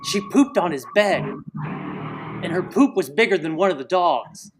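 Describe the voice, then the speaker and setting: A man with a fairly high voice hosts a loose livestream chat. He rambles from topic to topic and cracks jokes. Now and then he drops into goofy put-on voices. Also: lively intonation, a little slow, noisy background. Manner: jokey, rambling, puts on silly voices. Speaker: livestream host. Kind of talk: casual livestream banter.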